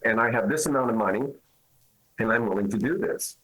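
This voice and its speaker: A man with a husky, slightly rough voice makes his case in a news interview. He speaks emphatically, pressing points with rhetorical questions, and his voice sits at an ordinary middle pitch. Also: a little fast, a bit bright, rough recording. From Canada. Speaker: immigration lawyer.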